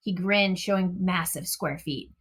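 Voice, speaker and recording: A woman reading a storybook aloud to children in an expressive narrating style. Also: lowish voice, unhurried, slightly rough. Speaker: storybook reader.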